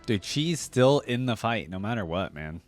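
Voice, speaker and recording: A man's voice: lowish voice, big pitch swings, normal speed, faint music behind. A man hosting a reaction video, commenting casually in an informal, slangy, rambling way.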